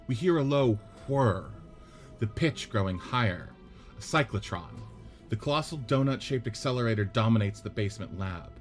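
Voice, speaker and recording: A man hosting a podcast, reading the stage directions of a script in a steady, explanatory way. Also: mid-range voice. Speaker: podcast host and narrator.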